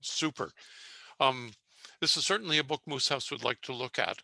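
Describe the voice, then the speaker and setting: An older man on a pitch panel, giving a publisher's feedback in a hesitant, halting way full of fillers and restarts. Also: gravelly, sharp tone, dry recording. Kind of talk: giving feedback on a video call.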